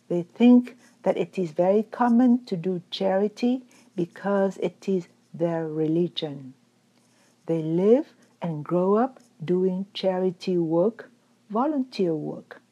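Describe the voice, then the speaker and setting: A woman teacher giving a calm, measured dharma talk, her voice still recovering after being lost. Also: mid-range voice, highs cut off. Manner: calm, measured, gently explanatory. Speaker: Buddhist teacher. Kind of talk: slow reflective dharma talk.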